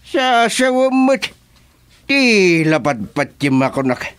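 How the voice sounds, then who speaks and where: A stubborn old village husband with an Ilocano accent in a comedy radio serial. He scoffs slowly and deliberately, on a dry, close recording. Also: high voice, very animated, dry recording, heavily acted. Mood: scoffing. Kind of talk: comedy radio drama acting.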